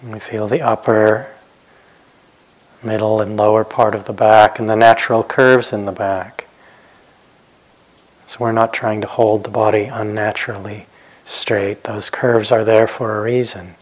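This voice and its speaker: Male meditation guide, lowish voice, very flat intonation, gentle instructional delivery with spacious pauses. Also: rough recording. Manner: gentle, unhurried, with long pauses between short phrases. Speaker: meditation teacher.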